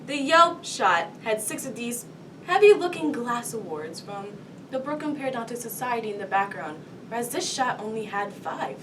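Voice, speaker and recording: A woman's voice: expressive, normal speed, lightly acted. A woman performing a cocky police detective who lays out a crime to a suspect in a comic TV monologue, taunting and dramatic. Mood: taunting, insistent. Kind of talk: comedy TV monologue performed solo. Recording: distant mic, rumbling background noise.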